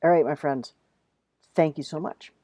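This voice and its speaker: A woman giving a casual, conversational writing lesson, reading with deliberate emphasis and commenting plainly. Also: sits low, warm tone, no room sound. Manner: emphatic, reads examples aloud deliberately, then comments plainly; informal, conversational. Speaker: writing teacher. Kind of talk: casual instructional talk to students.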